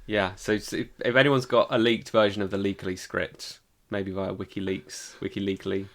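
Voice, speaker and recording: A man's voice: lowish voice, flat delivery, moderate pace, a bit bright. A man co-hosting a podcast in casual conversation, laid-back and brief in his remarks. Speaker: podcast co-host. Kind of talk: casual discussion.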